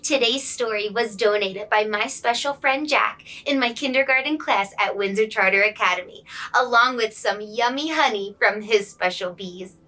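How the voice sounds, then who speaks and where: A woman who teaches kindergarten, reading a children's picture book aloud in an expressive, explanatory way. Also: on the high side, moderate pace. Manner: clear explaining to young children, animated emphasis.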